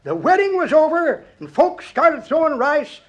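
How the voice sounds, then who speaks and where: High-pitched folksy old-timer. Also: male voice, very animated, normal speed, highs cut off. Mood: chuckling, storytelling. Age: older. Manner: folksy, jokey drawl with rustic grammar. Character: folksy old man, chuckling teller of tall tales. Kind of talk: 1950s radio comedy acting.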